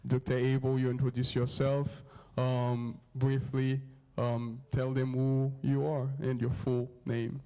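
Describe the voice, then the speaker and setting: Guy from haiti emceeing a church q&a, chill, lots of ums and laughs, mid pitch. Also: slow pace. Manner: relaxed, laughing, lots of fillers. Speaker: youth leader and moderator. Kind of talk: casual event hosting.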